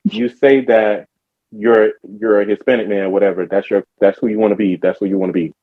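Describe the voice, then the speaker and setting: A man's voice: lowish voice, fairly even intonation, brisk, no echo, phone-quality sound. A man hosting a casual podcast, probing and pushing back on the answers. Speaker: podcast host. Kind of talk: casual chat.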